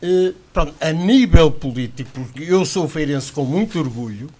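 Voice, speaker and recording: A man's voice: on the high side, lively intonation, moderate pace, darkish tone. Rambling Portuguese man on radio panel. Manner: rambling, self-correcting, proud. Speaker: panel commentator. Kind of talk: radio sports panel chat.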